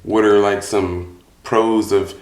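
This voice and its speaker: A lower-voiced man talks as a podcast guest, loosely explaining with many fillers. The room adds a clear echo around his voice. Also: fairly even intonation, slow. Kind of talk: casual explanation. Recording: roomy echo.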